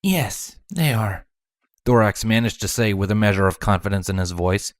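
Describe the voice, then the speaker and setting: A man's voice: fairly low pitch, steady tone, fast, clear, resonant tone, dry recording, clean studio sound. A man reading scripted fantasy fiction aloud as a storybook narrator. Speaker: narrator, fan fiction reader.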